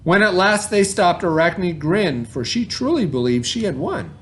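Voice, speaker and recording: A man's voice: high voice, expressive, normal speed, a little hiss or hum. A man teaching children, reading a story aloud and explaining it informally, with questions to his pupils. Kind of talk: children's read-aloud and lesson.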